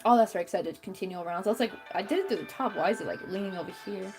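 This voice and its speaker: Young woman crocheting and chatting, kinda fry-ish voice, music playing under her. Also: mid-range voice, racing. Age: young adult. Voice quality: young-sounding voice. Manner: chatty, talks to herself while working, mutters frustrations. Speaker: crochet channel host. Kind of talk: casual chat while crafting.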